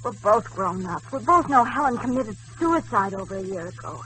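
Actress as bright-voiced, devoted young fiancée. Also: female voice, medium pitch, expressive, normal speed, close to an everyday voice, rough recording. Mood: soothing. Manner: affectionate, coaxing. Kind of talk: 1940s radio drama acting. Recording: hissy 1940s radio recording.

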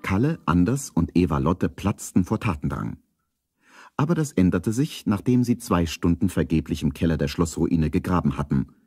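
A man narrating a 1970s children's detective radio play as a calm storyteller. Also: low-pitched, moderate pace, breathy edge, no room sound, clean studio sound, soft background music, exaggerated voice.

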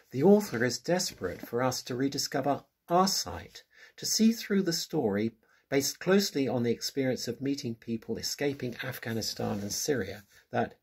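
An older man with a very husky, breathy voice reads from a prepared review. His pitch rises and falls in lively swings, earnest and measured throughout. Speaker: book reviewer. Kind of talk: reading a prepared book review. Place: small room at home. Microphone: webcam close up.

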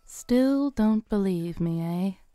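A young woman playing a fortune teller at a fair in a scripted roleplay audio, with a smooth voice and a soft, coaxing, gently teasing manner, close to the mic. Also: medium pitch, lively intonation, slow, dry recording.

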